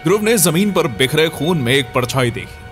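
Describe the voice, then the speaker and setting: A man's voice: a little high, expressive, normal speed, fairly bright tone. A man narrating a scripted horror audio story in a dramatic storyteller's manner. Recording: music under the voice. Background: background music.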